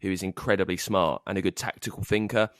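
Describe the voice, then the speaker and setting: Man interviewer, lowish voice, very dry sound. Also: fairly even intonation, fast, a bit bright, no echo. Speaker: podcast host, interviewer. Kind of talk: conversational interviewing.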